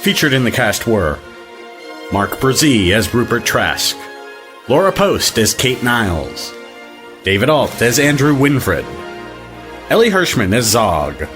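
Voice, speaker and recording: A man's voice: medium pitch, very animated, slow, long pauses, music in the background. A man announcing the credits of an audio drama in a scripted style.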